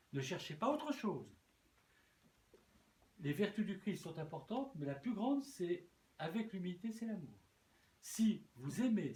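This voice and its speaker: A man's voice: sits high, a little mellow. A man preaching a sermon as a bishop, earnest, often repeating words and restarting. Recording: echoey room with faint noise.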